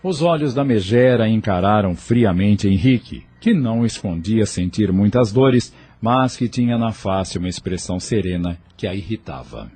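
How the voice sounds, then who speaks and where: A man reading scripted narration for an audio drama in a measured storytelling manner. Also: lowish voice, expressive, mellow, husky, no room sound.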